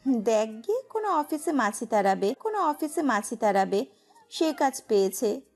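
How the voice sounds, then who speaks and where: Woman putting on a shrill, sarcastic female relative. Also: big pitch swings, normal speed, a little mellow, faint music behind. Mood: mocking. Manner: sarcastic, needling. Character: mocking, teasing female relative, scornful.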